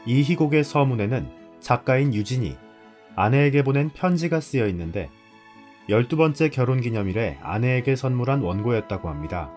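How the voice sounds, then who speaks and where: A man's voice: mid-range voice, lively intonation, brisk, a little mellow, soft background music. A man hosting and reading a scripted narration. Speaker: host.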